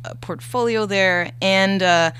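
A woman's voice: medium pitch, a little slow, a bit bright, a little hiss or hum. A young woman speaking as an interview guest, chatting casually and a little hesitantly. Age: young adult. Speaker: guest, animator and illustrator.

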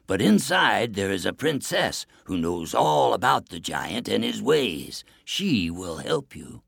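A man voicing a gaunt, hungry wolf in a fairy tale, measured and earnest in giving pleas and advice. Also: fairly low pitch, very animated, creaky, fairly bright tone, breathy edge, dry recording, studio quality, full character voice. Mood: advising. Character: weak, starving wolf, helpful and wise.

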